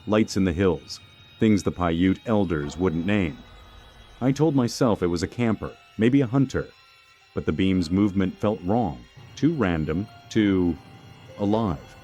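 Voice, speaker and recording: A man narrating a scripted first-person horror story in a measured, dramatic, suspenseful manner. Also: low-pitched, a little mellow. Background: background music.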